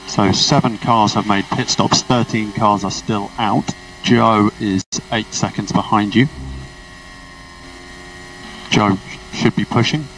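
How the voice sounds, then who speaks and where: A man's voice: fairly low pitch, steady tone, slow, long pauses, fairly bright tone. Man race engineer on noisy team radio. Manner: clipped, procedural, instructive. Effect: team radio transmission. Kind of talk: motorsport team radio instructions. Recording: noisy distant radio, car and engine noise.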